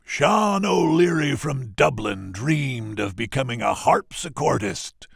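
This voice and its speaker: Pirate chronicler, heavy fry, husky, dramatic declaiming for a game. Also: male voice, medium pitch, fairly bright tone, no room sound, clean studio sound, exaggerated voice. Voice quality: raspy, gravelly. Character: theatrical pirate ship chronicler reading crew records.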